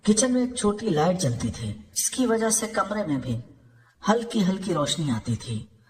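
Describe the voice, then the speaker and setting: A man's voice: sits high, very animated, a little slow. A man reading a scripted first-person story as its narrator. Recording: long hall-like reverb.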